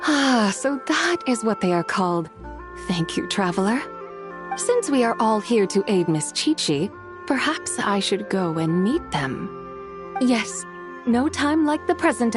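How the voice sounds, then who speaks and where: A woman playing a composed, courteous scholarly lady in a video game, speaking in a formal, measured, old-world manner. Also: fairly high pitch, expressive, over music. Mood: courteous, reassuring. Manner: formal, measured, archaic phrasing.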